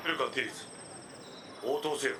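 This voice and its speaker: An actor playing a stern, authoritative commander in an anime game, speaking formally and with command. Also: male voice, mid-range voice, normal speed, background noise, lightly acted. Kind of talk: Japanese anime game voice acting. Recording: loud music behind.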